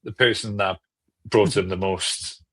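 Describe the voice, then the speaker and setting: A man's voice: low-pitched, steady tone, unhurried, a bit bright. A man on a podcast panel, chatting casually and enthusiastically, rephrasing as he goes.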